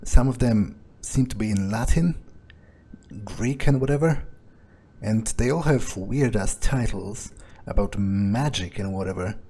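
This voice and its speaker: A man's voice: lowish voice, unhurried, warm tone, husky. A man role-playing a cocky, foul-mouthed fledgling vampire in improvised video game commentary, muttering hesitantly and swearing casually. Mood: musing, curious. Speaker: game streamer role-playing his character. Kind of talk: in-character improvised gameplay commentary.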